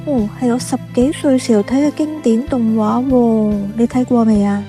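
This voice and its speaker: A woman's voice: on the high side, fairly even intonation, normal speed, music in the background, lightly acted. A woman playing a chatty, curious café worker in a radio drama, inquisitive and teasing toward younger customers.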